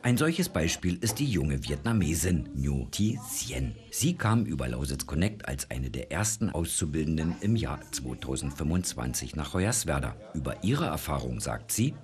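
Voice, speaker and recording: A man's voice: a bass voice, very animated, normal speed, hardly any pauses, a little mellow, soft background music. A man narrating a scripted regional news report as the reporter.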